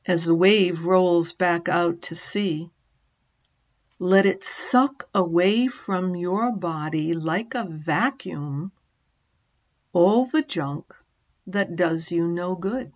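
A middle-aged woman narrating a guided meditation as a teacher, gently and in short measured phrases with long pauses. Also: on the low side, lo-fi sound.

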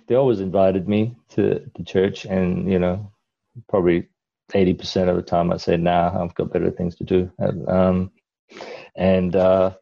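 A man's voice: deep voice, creaky, dark tone, dull audio. A middle-aged man from Malaysia, a guest in a casual interview, telling his story in a rambling way with lots of fillers and restarts.

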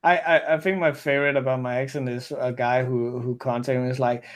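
A man's voice: mid-range voice, flat delivery, brisk. A young man from Denmark with a Danish accent, chatting casually, often laughing and stumbling over restarts. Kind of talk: casual conversation.

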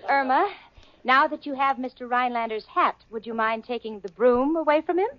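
A woman's voice: sits high, brisk, no echo, natural voice. An actress playing a sensible young woman who gets flustered and stammers, in a 1940s radio sitcom. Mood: polite. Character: level-headed young woman roommate, easily flustered. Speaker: lead character and narrator. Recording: dull old radio broadcast with audience.

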